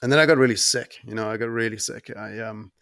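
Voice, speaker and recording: A man in his thirties from Germany, whose accent mixes German and New Zealand, talking as a podcast guest in a relaxed, rambling way with many ums and restarts. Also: lowish voice, fast talker, creaky, no echo, studio quality. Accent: mix of German and New Zealand. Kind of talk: casual conversational interview.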